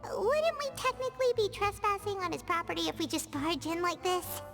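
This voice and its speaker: A woman voicing a tiny floating female companion, bubbly and excitable, talking about herself in the third person. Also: very high-pitched, moderate pace. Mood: chirpy. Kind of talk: game dialogue. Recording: game audio with music behind.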